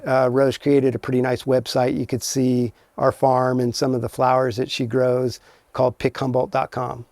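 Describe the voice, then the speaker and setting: Middle-aged man, creaky voice, plain-spoken, casual interview guest, dry recording. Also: medium pitch, a little mellow, dry recording. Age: 40s or older. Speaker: podcast guest, cannabis farmer. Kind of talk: casual chat.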